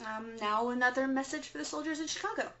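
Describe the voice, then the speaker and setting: A woman's voice: sits high, fast, close to an everyday voice. A woman playing an earnest, slightly hesitant host of an underground resistance broadcast in an audio drama. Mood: earnest, concerned. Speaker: radio host. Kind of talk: scripted audio drama, interview. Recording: noisy, dull lo-fi audio.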